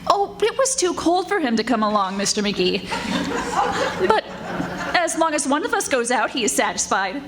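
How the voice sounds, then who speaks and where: A woman's voice: high-pitched, very animated, moderate pace, over a noisy crowd. A woman playing a prim, genteel society lady with a formal, superior manner in an old-time radio comedy. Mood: politely haughty. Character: prim, haughty society lady, politely one-upping. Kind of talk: 1940s radio comedy acting before a live audience. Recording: live stage recording with faint noise.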